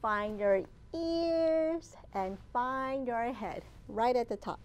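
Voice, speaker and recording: A woman's voice: a little high, takes it slowly. A woman in her thirties hosting a children's storytime, speaking in simple, encouraging phrases to young listeners. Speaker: children's librarian, storytime host. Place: indoors in a library children's area.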